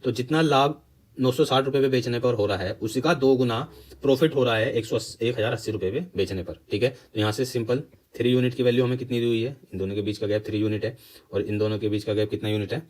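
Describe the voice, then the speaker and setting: A man's voice: medium pitch, fast talker, darkish tone, poor audio. A man with an Indian accent teaching step by step, posing questions and answering them himself, switching between two languages. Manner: mixes Hindi explanation with English terms. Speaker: maths teacher for exam preparation. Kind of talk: step-by-step lesson explanation.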